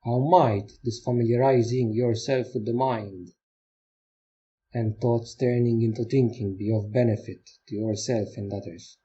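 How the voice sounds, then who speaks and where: Male meditation guide, mellow and husky, gentle instructional delivery with pauses, lo-fi muffled sound. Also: mid-range voice, fairly even intonation. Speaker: meditation teacher.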